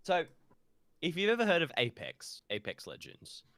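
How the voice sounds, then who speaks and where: Bright, dry-sounding man explaining games. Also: on the high side, huge pitch swings, normal speed, dry recording. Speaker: podcast co-host. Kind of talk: casual explanation of a video game.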